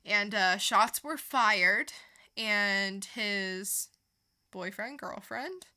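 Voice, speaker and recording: A woman's voice: mid-range voice, extremely slow, sharp tone. A woman co-hosting a podcast, chatting casually through a comic book review and retelling it with casual swearing. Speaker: podcast co-host.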